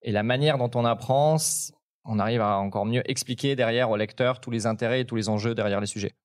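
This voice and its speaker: A man's voice: mid-range voice, fairly quick. A young man of Ukrainian origin, a tech journalist on a talk show panel, speaking hesitantly with many fillers. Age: young adult.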